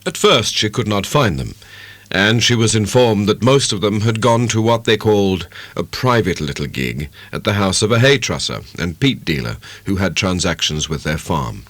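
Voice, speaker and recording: A man narrates a classic novel in a measured, dramatic way. His intonation swings expressively over a middling-low pitch, and the sound is dry and close. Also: fairly bright tone, dry recording. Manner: measured, literary storytelling. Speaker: audiobook narrator.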